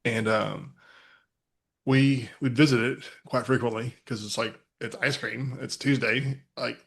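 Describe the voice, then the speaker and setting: A man's voice: mid-range voice, steady tone, unhurried, creaky, fairly bright tone. A man in his forties, a podcast host, telling a casual story with lots of fillers and restarts. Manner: rambling, many fillers and restarts.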